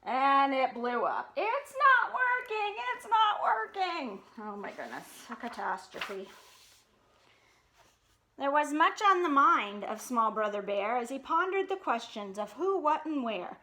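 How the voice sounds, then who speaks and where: A woman reads a children's book aloud as a storytime host. Her voice is high and moves up and down expressively, with casual asides between passages. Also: sing-song, measured pace, sharp tone, slight background noise.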